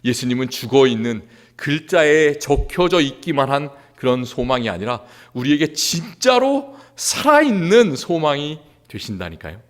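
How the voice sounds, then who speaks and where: A male pastor from Seoul preaching a sermon in a church, earnest, rising to emphasis and dropping quiet again. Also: fairly high pitch, big pitch swings, normal speed, hardly any pauses. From Seoul, South Korea. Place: church sanctuary.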